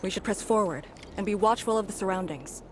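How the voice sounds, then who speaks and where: A woman voicing a grave, resolute veteran leader in a video game, speaking in a measured, solemn way. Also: medium pitch, a little hiss or hum, lightly acted. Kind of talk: scripted video game dialogue.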